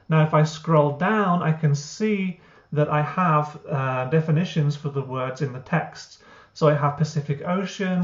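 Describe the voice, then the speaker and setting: A man, the founder of a language app, walking through his software step by step in an explanatory way, with frequent uh and um. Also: on the high side. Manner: explanatory, many uh and um fillers. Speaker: software founder giving a tutorial. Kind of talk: screen-recorded software walkthrough. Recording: roomy, dull lo-fi.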